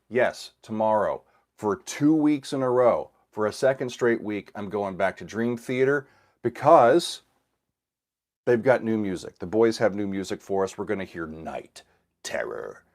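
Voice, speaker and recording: Host: middle-aged man, slightly low voice, scratchy from a cold, easygoing livestream chatter full of ums and restarts. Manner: relaxed, rambling, frequent fillers and restarts. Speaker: host of a music reaction channel. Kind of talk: casual livestream chat with viewers.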